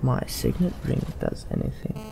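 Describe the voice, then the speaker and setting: A man hosting a let's play, commenting in character and giving orders to a party over game music. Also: moderate pace. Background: video game music.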